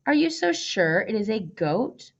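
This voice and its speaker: A woman's voice: mid-range voice, sing-song, measured pace, highs cut off. A woman voicing a spirited, headstrong young princess in a storybook, bold and teasing, with emphatic questions. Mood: teasing challenge. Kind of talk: storybook character voice.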